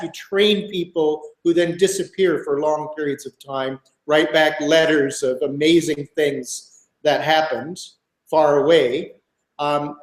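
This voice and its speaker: A man's voice: a little high, roomy sound, poor audio. A man in his sixties from Minnesota, answering interview questions thoughtfully as a guest author, with many fillers and restarts.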